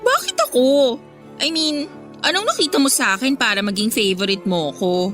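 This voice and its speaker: Perky Filipino teen, newly glamorous, high lively voice, chatty radio drama delivery over music. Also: female voice, normal speed. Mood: excited, flattered. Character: bubbly teenage schoolgirl, newly glamorous, eager to please. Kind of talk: radio drama acting in casual Taglish. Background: background music.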